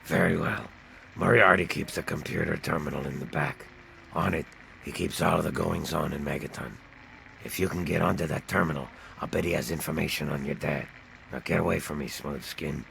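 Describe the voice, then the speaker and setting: A man voicing a timid, bitter ghoul bartender in a video game, with a gravelly rasp and a nervous, self-pitying manner. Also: extremely low pitch, fairly even intonation, a little fast, a bit bright, a little hiss or hum, full character voice. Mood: wary. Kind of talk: video game character dialogue.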